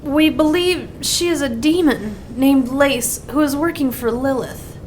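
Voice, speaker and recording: Dead nun, played by a woman, high slow frightened voice, faint noise behind, audio drama. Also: crowd noise. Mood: fading. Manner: frightened, fading. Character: dying nun raised from the dead.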